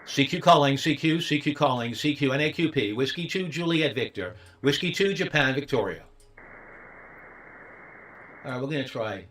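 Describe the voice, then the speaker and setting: A man's voice: medium pitch, animated, normal speed, fairly bright tone, slight background noise, poor audio. A man working as an amateur radio operator, making repetitive contest calls using the phonetic alphabet.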